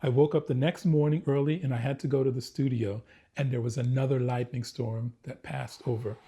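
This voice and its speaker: An American man recounts his past in a relaxed conversation, his voice a bit husky and breathy. He tells his stories vividly, with restarts and a lot of laughter. His pitch sits in the middle of the male range. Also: brisk. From United States. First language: English. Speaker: guest, former actor. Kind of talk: casual storytelling interview.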